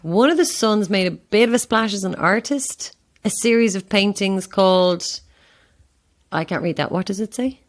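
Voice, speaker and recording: A woman's voice: medium pitch, normal speed, lightly acted. A woman with an Irish accent playing a curious, easygoing tourist in a naturalistic audio horror drama, conversational and thoughtful. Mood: musing. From Ireland.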